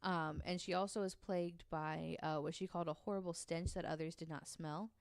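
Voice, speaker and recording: A woman co-host narrates a story to her friends in a relaxed, chatty way, stopping often for an um. Her voice sits low and sounds smooth and clear. The sound is dry and close to the mic. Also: brisk, dry recording. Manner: narrates at length with frequent ums, reads quotes aloud. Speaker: podcast co-host telling the story. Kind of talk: casual storytelling chat.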